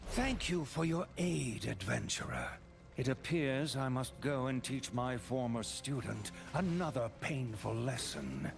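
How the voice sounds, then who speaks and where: An actor playing a stern, righteous old necromancer in a fantasy game, formal, commanding and theatrical. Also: male voice, mid-range voice, animated, a little slow, gravelly, music in the background. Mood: grave. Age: older. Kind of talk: dramatic fantasy game acting.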